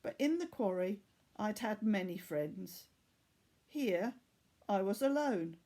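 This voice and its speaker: A woman reading the part of a weary, earnest boy from Bangladesh in a storybook, telling his story in a measured way. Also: medium pitch, animated, mellow. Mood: sorrowful.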